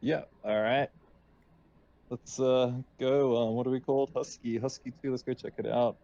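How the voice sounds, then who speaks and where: A man's voice: medium pitch, steady tone, moderate pace, vocal fry, warm tone, muffled recording. A man talking casually as a player in a tabletop roleplaying game.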